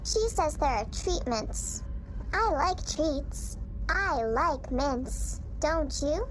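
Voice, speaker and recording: Female actor as innocent young girl, high pitch, unhurried, earnest, childlike, story drama. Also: lively intonation, slight background noise, heavily acted, rough recording. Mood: pleading. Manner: childlike, simple, deliberate. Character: innocent, earnest young granddaughter with flashes of knowing.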